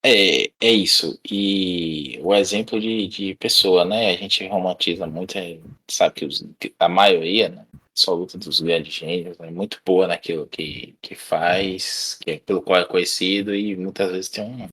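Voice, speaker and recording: A man's voice: deep voice, fairly even intonation, a little slow, few pauses, a bit bright, husky, poor audio. A man with a Brazilian accent chatting casually as a podcast panelist, in informal, slangy language with some swearing. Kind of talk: casual chat.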